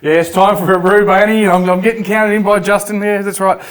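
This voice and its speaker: Australian man, joking co-host, voice sits a bit high. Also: little pitch movement, a little fast, smooth. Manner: joking, laughs often. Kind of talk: casual racing podcast chat.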